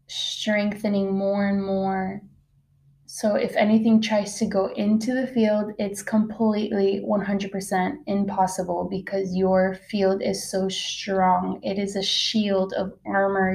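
Slow, mellow woman guiding meditation. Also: mid-range voice, creaky. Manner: soft-spoken, unhurried, with long pauses. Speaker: meditation guide. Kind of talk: guided meditation, slow soothing narration.

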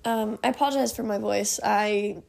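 A woman's voice: medium pitch, normal speed, creaky. A young woman co-hosting a podcast, a little stuffed up from allergies, rambling and laughing as she retells a story. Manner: rambling, giggly, animated retelling. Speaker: podcast co-host. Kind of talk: casual chat, storytelling.

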